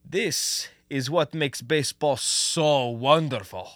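An actor playing a wry, talkative Russian comrade who loves baseball and explains things expansively in a radio comedy. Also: male voice, mid-range voice, slow pace, fairly bright tone, dry recording, studio quality. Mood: enthusiastic, lecturing.

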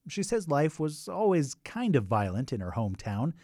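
A man's voice: fairly high pitch, sing-song, normal speed, warm tone, no echo, clean studio sound. A man narrating a radio documentary in a scripted but conversational way, with wry asides.

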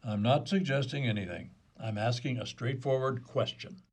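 Actor as husky, terse police detective. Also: male voice, medium pitch, normal speed, natural voice. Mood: persistent, matter-of-fact. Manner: matter-of-fact, probing, terse. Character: dry, persistent police detective questioning a suspect. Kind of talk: comedic radio play dialogue.

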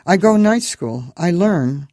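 Narrator doing a kindly Moldovan cab driver, higher and louder, clipped broken english, dry sound. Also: male voice, animated, takes it slowly, no room sound. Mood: friendly. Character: Moldovan immigrant male cab driver, chatty and kind.

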